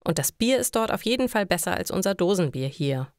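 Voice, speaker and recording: A woman's voice: on the low side, big pitch swings, a little fast, dry recording, studio quality. A woman voicing an awkward, accommodating man in a story for language learners. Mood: placating. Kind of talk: German learner story dialogue.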